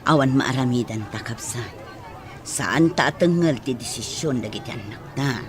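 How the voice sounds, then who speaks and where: A man's voice: mid-range voice, normal speed, natural voice. A man playing a middle-aged, consoling and accepting parent in an audio drama, with a soothing way of talking. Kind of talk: radio-style audio drama acting. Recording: music and crowd noise behind. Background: music, outdoor crowd sounds.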